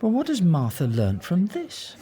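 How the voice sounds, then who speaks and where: A man's voice: high voice, wildly swooping pitch, unhurried, warm tone, exaggerated voice. A man playing a sceptical, needling disciple in a radio play, with a questioning, teasing manner. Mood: challenging. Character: sceptical, needling male disciple with smooth sympathy.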